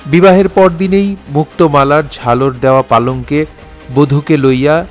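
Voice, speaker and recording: A man's voice: fairly high pitch, unhurried, faint music behind. A man narrating an audio drama in a formal, literary storytelling manner.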